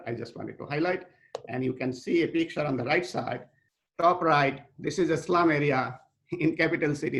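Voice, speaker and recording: A middle-aged man from Bangladesh, a nonprofit co-founder, giving an unscripted slide presentation with halting restarts. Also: medium pitch, vocal fry.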